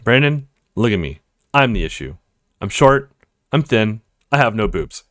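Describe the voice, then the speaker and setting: A man's voice: lowish voice, very animated, moderate pace, fairly bright tone, no echo, studio quality, voice pushed down. A man voicing a petite young woman in a visual novel, earnest, insecure and blunt in her complaints. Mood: frustrated, hurt.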